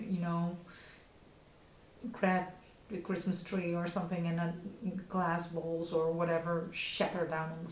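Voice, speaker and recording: A woman in her thirties speaks English with a Dutch accent, not her first language. She chats casually about her knitting, stopping often for fillers and restarts and laughing softly now and then. The room gives her voice a clear echo, with a faint hiss underneath. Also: fairly low pitch. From Netherlands. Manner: hesitant, many fillers and restarts, frequent small laughs. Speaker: knitting podcast host. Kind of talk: casual show-and-tell chat.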